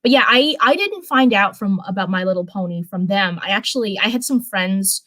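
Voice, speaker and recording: A woman's voice: medium pitch, lively intonation, moderate pace, sharp tone. A young woman hosts a casual livestream. She reads stories aloud and slips into rambling, informal commentary. She often backs up to fix what she has just said. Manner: rambling, informal, frequent self-corrections. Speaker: livestream host reading fan fiction. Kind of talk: casual livestream chat and story read-aloud.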